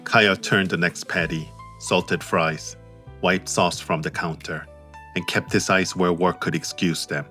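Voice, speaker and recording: A man reading an audiobook romance as narrator in a measured, steady way. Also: low-pitched. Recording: faint music behind.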